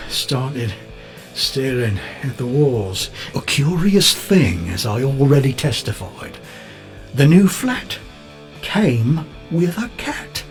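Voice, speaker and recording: An actor playing a bitter, unravelling British photographer in an audio drama monologue, rambling and coarse, darkly matter-of-fact. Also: male voice, medium pitch. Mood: wry, bleak. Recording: music under the voice.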